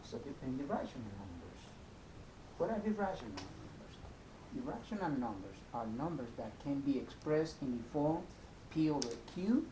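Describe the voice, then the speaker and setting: A man from El Salvador with a Salvadoran Spanish accent teaches math in an informal, step-by-step way, repeating himself and checking in as he goes. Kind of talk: informal video lesson. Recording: echoey room, clear rumbling noise.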